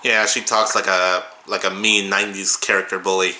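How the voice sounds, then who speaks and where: A man's voice: low-pitched, moderate pace. A man giving casual, playful running commentary as he reacts to a show. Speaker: reaction video host. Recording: roomy sound with loud show audio behind. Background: music and voices of an animated audio drama.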